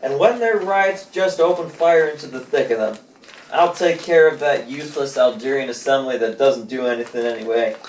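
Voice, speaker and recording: A man's voice: medium pitch, moderate pace, darkish tone, natural voice. A young man playing a ruthless, dismissive French general in an amateur puppet show, on an echoey recording with some noise and distance. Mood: callous. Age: young adult.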